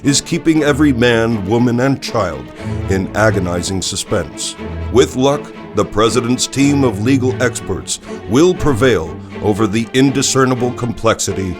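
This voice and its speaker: A man playing a solemn news anchor, reporting in a grave, measured, formal broadcast style. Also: mid-range voice, expressive, natural voice. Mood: grave, suspenseful. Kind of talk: dramatic broadcast news narration. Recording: music bed behind the voice.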